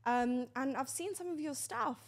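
A woman's voice: high voice, expressive, normal speed, gravelly. A woman hosting a casual interview, chatting with the guest and asking probing follow-up questions. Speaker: host.

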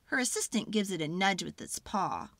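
Animated woman reading a storybook aloud. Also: mid-range voice, dry recording. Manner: expressive, deliberate, acts out sounds and feelings. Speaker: children's book read-aloud narrator. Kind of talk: dramatic storybook narration.

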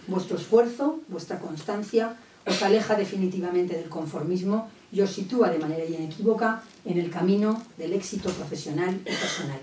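Echoey, low-voiced Spanish woman making a speech. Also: warm tone. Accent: Spain Spanish. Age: middle-aged. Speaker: centre director. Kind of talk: formal ceremonial speech. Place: lecture room at a panel table. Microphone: gooseneck table mic, distant pickup. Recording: echoey hall, distant mic, faint noise.

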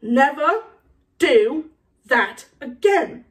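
A woman voicing a gruff, menacing older male professor from a storybook, blunt and forceful, with a growl. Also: expressive, normal speed, distant mic. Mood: furious growl. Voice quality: low, gravelly growl.

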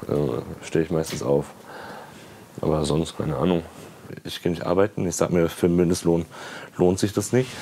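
A man's voice: low-pitched, mellow, a little hiss or hum. A man of twenty-one talking casually in a documentary interview, plain-spoken and laid-back. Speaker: documentary participant.

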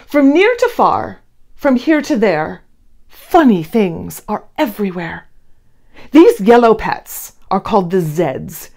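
Animated, unhurried woman reading to children. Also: medium pitch, creaky. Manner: animated, emphatic, addressing children directly. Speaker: children's read-along host. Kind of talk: expressive picture-book reading and talking to young children. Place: indoor room, seated before a plain blue wall.

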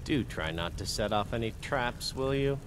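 An actor playing a wary, dry adventuring companion in a fantasy video game. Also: male voice, mid-range voice, animated, moderate pace, lightly acted. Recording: noisy game ambience.

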